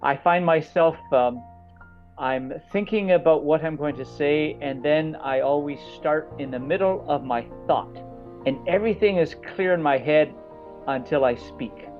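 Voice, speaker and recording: A middle-aged man, a coach, giving a personal testimonial in a halting, reflective way with pauses mid-thought. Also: fairly high pitch. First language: English. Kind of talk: personal testimonial talk to an audience. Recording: slightly dull audio with music underneath. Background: music.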